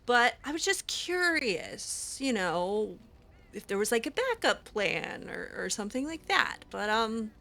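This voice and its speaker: A woman roleplays her way through a fantasy game in easy, chatty talk, hesitant and full of fillers with a wry edge. Her voice is bright and swings widely in pitch. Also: very animated, unhurried, creaky. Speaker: roleplay streamer. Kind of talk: casual in-character roleplay chat.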